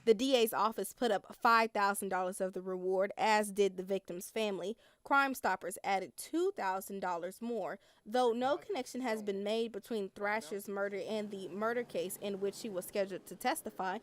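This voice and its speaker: A woman's voice: medium pitch, moderate pace, few pauses, dry recording, studio quality. A woman with an American accent reads scripted narration as a television news reporter.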